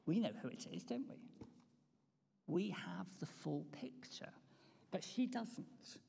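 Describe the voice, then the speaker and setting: Slow, gravelly preacher in a church. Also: medium pitch, wildly swooping pitch, dark tone. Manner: reflective, asks the congregation rhetorical questions. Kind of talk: Easter sermon. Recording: long church reverb.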